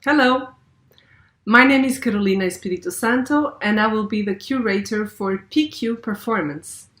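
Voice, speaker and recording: A woman's voice: medium pitch, normal speed, fairly bright tone. A woman from Portugal, a scenographer, giving a cheerful, friendly self-introduction as a festival curator. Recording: roomy echo.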